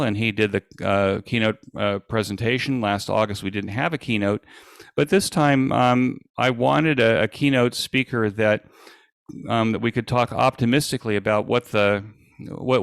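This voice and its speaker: A man giving an informal presentation as an event organizer, speaking in a halting, hesitant way with frequent pauses. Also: on the low side, a bit bright, clear, resonant tone, no room sound.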